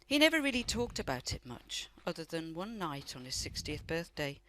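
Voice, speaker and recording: A woman's voice: sits low, big pitch swings, moderate pace, fairly bright tone, poor audio. An actress in a radio play playing a loving, wistful elderly grandmother who tells family memories in a reflective way. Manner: reflective storytelling.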